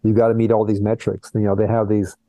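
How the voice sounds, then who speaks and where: A man's voice: on the low side, monotone, fast talker, dark tone, dry recording, poor audio. An older man who is a plastic surgeon, talking earnestly in a conversational interview over a video call and often restarting his words. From born in Colombia, raised in Brazil and the US.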